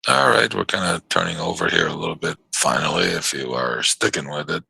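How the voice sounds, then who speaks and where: A man hosting a live trading chat on a video call, his voice worn from yelling, rambling and stammering through repeated words. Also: rumbling low, big pitch swings, fairly quick, dry recording, low-quality recording. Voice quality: gravelly and husky. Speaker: trading show host.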